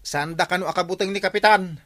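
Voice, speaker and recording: A man with an Ilocano accent playing an alarmed, hurried village watchman in a radio comedy drama. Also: sits high. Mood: insistent. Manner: hurried, excitable. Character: urgent, alarmed village watchman reporting trouble.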